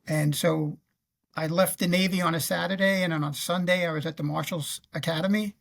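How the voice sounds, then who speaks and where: A man in his fifties with a New York accent recounts his career in an interview on a video call, pausing often as he goes. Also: on the high side, steady tone, gravelly, a bit bright. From Queens, New York. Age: 50s. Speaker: guest, retired federal law officer and navy veteran. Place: indoor room on a video call.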